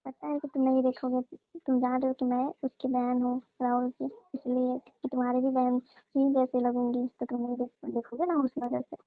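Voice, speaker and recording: High-pitched young woman on a phone. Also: monotone, moderate pace, no room sound. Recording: tinny narrowband phone line.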